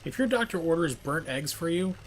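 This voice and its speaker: A man's voice: on the high side, animated, a little fast. A man livestreaming a video game with casual, joking, rambling commentary. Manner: wisecracking, rambling. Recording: faint game noise behind commentary.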